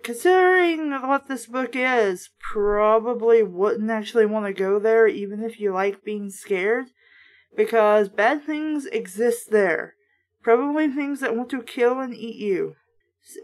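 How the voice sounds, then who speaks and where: High-voiced woman reading aloud with wry asides. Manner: sarcastic asides. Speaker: host of a book review series. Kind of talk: casual read-aloud with running commentary.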